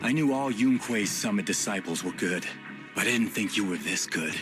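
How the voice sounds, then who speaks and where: A man's voice: on the low side, expressive, moderate pace, natural voice. An actor playing a smug, cruel tiger villain in a video game, grandiose and playful, savouring his words. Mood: theatrical resignation. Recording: loud music behind voice.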